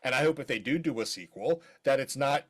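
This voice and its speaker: A man's voice: mid-range voice, expressive, fairly quick, fairly bright tone, husky. A middle-aged man from Maryland co-hosting a podcast, chatting casually with jokey, rambling asides. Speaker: podcast co-host. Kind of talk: casual chat.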